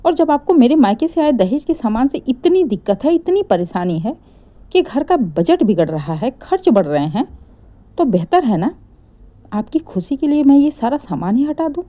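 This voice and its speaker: A woman's voice: sits high, sing-song, normal speed, mellow, pitched above the performer's own voice. A woman voicing a composed young daughter-in-law in a family story, polite but pointed and mock-innocent. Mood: coolly pointed.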